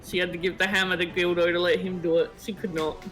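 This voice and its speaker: A man chatting casually as a gaming partner on a stream, halting and repeating words as his thoughts form. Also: high-pitched, flat delivery, fairly bright tone, soft background music. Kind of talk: casual chat about a game's story.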